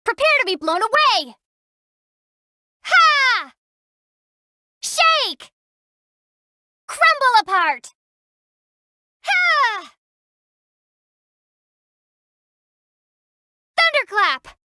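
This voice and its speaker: A young wandering heroine for a video game. Her voice sits high and calm, and she explains things with dry, teasing wit. It is recorded close and dead dry in a studio. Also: no echo, exaggerated voice. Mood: fierce battle cries. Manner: dry teasing explanations and sharp battle shouts. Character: young female traveler hero, calm and wry.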